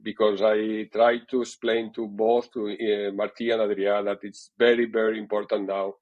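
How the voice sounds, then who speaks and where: A man's voice: fairly low pitch, little pitch movement, dull audio. Middle-aged catalan guy talking english in an interview, lots of uh and restarts, accent shows. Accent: Catalan Spanish. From Catalonia, Spain. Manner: halting, many fillers and repeated words. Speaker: TV sports director, guest. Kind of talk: interview answers.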